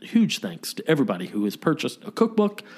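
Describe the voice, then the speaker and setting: A man's voice: mid-range voice, huge pitch swings, brisk, a little mellow. A man hosting a podcast in a casual, folksy, slangy way. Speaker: podcast host. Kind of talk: casual chat.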